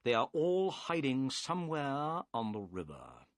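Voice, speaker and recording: Man reading a calm clever detective, polite and brisk, dry close sound. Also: medium pitch, no room sound. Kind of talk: audiobook character voice.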